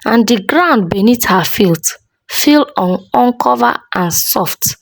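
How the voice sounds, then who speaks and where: A woman storyteller narrating a scripted folktale in a measured, dramatic way with deliberate pauses. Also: fairly high pitch, expressive, vocal fry, dry recording.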